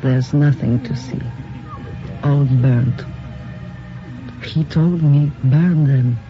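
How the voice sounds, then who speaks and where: Slow-spoken, stoic widow. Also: female voice, rumbling low, heavily acted. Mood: guarded. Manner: measured, grave, blunt. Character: stoic, enigmatic Mexican widow of a writer. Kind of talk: radio drama acting. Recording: muffled lo-fi radio drama, faint music.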